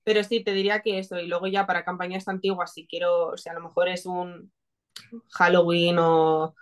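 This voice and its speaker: Slightly low-voiced young woman from Spain. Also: little pitch movement, normal speed, clear, resonant tone. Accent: Spanish (Spain). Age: 20s. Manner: informal, conversational. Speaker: interview guest, marketing specialist. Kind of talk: casual chat. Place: small home room, indoors. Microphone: video call.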